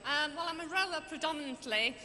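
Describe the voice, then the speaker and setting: A woman's voice: sits high, quick pace, pushed and bright, long reverb tail. A woman from Wales, a game show contestant, answering confidently and breezily. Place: large indoor arena.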